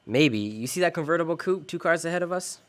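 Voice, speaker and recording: A man's voice: on the high side, expressive, fast talker, close to an everyday voice. Man acting a sardonic hardboiled private eye. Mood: wry, unhurried. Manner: sardonic, slangy. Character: wisecracking, cool hardboiled male private detective. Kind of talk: radio noir drama acting with narration.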